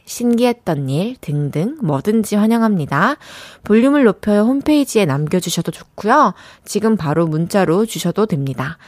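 A woman's voice: fairly low pitch, a little mellow, no echo. Young woman radio dj reading listener texts, chatty, dry close studio mic. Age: young adult.

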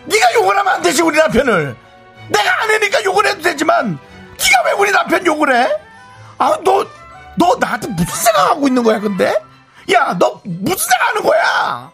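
A man's voice: very high-pitched, wildly swooping pitch, fairly quick, creaky, pushed and bright, soft background music, pitched above the performer's own voice, heavily acted. A man playing an aggrieved, touchy wife in a comic radio skit, complaining in a whiny, dramatic way. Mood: defensive indignation. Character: aggrieved, touchy wife venting about, then defending her husband.

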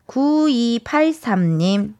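A woman in her thirties hosting a casual radio show in a studio, chatting with listeners in a playful, teasing, animated manner. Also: a little high, drawn-out words, no echo. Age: 30s. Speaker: radio host. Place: broadcast studio.

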